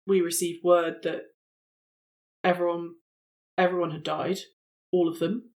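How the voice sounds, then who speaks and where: A player voicing an earnest, intelligent woman time traveller in a tabletop game, with halting, sombre delivery. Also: mid-range voice, little pitch movement, a little mellow, close to an everyday voice.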